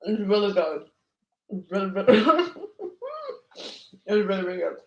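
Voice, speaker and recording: A woman's voice: medium pitch, a little slow, reverberant, highs cut off. A woman hosting a casual tarot live stream who chats and laughs while she eats. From Dominican. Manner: chatty and laughing, talks while eating.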